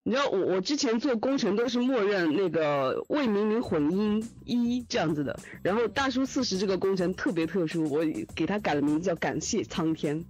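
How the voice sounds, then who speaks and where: A man's voice: high voice, animated, a little fast, hardly any pauses, a little mellow, faint music behind, poor audio. A man talking informally in a group chat, recounting things in a casual way. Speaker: audio drama sound editor.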